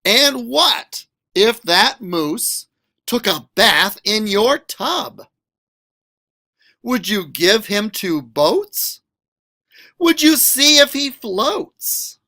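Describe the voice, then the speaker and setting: A man reading a children's picture book aloud as a school librarian, expressive and questioning, stretching out words for young listeners. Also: high voice, extremely slow.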